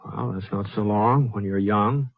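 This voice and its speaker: An actor with an American accent playing an easygoing, courteous cowboy gunfighter in a radio western, with a folksy, hesitant, stammering delivery. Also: male voice, lowish voice, unhurried, no echo. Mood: calm, gentle. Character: easygoing, soft-hearted drifting cowboy gunfighter, courteous to ladies. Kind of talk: old-time radio western drama acting. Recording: muffled, thin vintage radio recording.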